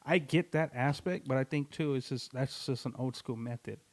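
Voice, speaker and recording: A man's voice: medium pitch, moderate pace. A man co-hosting a podcast in a recording studio, talking casually in a rambling, slangy way with many restarts. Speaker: podcast co-host. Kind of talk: casual chat.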